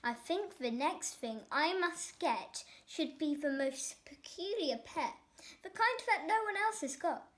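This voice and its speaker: Young reader doing a loud, high, spoiled brat. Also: animated, a little slow. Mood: demanding. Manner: shouting, bossy, petulant. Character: spoiled, bratty, demanding rich boy.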